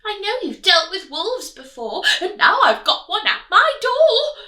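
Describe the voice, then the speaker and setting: A woman voicing a clever, brave little male pig in a storybook reading, high and squealing. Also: sing-song, measured pace, sharp tone, distant mic, heavily acted. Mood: indignant. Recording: roomy room, voice from the mic.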